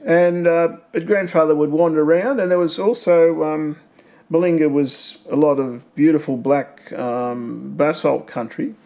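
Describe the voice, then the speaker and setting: Australian man, middle-aged, family storyteller, slightly higher voice, rambling with fillers. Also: steady tone, measured pace, gravelly, a little mellow. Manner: rambling, asks leading questions, many fillers. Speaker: family member recording memories. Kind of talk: casual reminiscing conversation.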